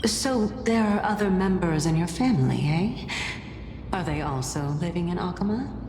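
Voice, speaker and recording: An actress playing an ancient, serenely amused titan spirit in a video game, teasing and probing in languid, formal phrases. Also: female voice, fairly low pitch, animated, normal speed, darkish tone, slight background noise. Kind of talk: dramatic video game voice acting. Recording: long hall reverb with music underneath. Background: game music.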